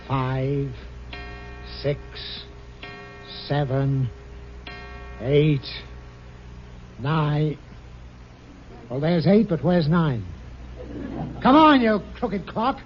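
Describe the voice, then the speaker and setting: An actor playing a grumpy, threatening office boss with blustery, deliberate delivery in a 1950s radio sitcom. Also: male voice, on the high side. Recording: muffled old radio recording.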